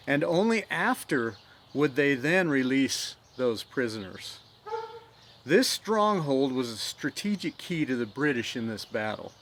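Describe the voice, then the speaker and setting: A man hosting his own storytelling show outdoors, speaking earnestly and growing more animated in the dramatic parts. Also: medium pitch, a little hiss or hum. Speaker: storyteller host. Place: outdoors in nature.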